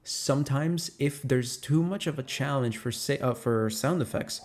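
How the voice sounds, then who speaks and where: A man whose first language is Tagalog talks in a medium-pitched voice. He is answering fan questions in a casual, chatty way. His manner is candid and playful, and he swears freely. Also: normal speed. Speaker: ASMR audio creator answering fan questions. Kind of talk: casual Q&A chat.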